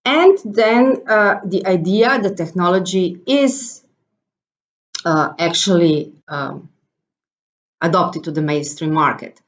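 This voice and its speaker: A woman's voice: on the low side, expressive, slow, dull audio. Slovenian-accented woman giving a hesitant talk. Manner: hesitant, many uh and um fillers. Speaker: conference speaker. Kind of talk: presentation talk.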